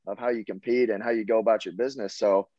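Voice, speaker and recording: A young man in his twenties with a Southern US accent, answering interview questions in an easygoing, halting way with many fillers. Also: lowish voice, steady tone, warm tone, no room sound, muffled recording. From Tennessee, USA. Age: 20s. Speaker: college baseball player guest.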